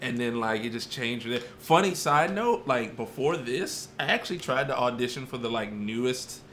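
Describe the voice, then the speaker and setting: A man talking casually in an interview about his martial arts background, relaxed and rambling with many fillers. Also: medium pitch, moderate pace, a little hiss or hum. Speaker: martial artist and performer.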